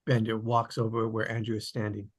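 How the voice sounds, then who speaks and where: A man's voice: fairly low pitch, monotone, fairly quick, husky. A man narrating, reading out stage directions at a script table read.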